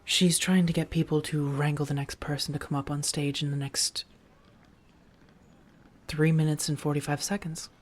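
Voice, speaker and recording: A woman playing a protective, worldly vampire roommate in a soft-spoken ASMR roleplay, speaking in measured, halting phrases that sometimes drop toward a whisper. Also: low voice, level tone. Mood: gentle, amused. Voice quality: soft, breathy whisper at times. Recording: music under the voice.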